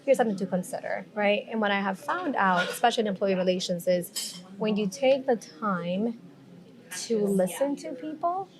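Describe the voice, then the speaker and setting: A woman's voice: mid-range voice, animated, normal speed, low-quality recording. Mexican accent woman, chill reflective chat, says right a lot, crowd chatter behind. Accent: Mexican Spanish. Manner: reflective, often checks in with "right?". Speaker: HR professional, podcast guest. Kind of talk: casual conversation.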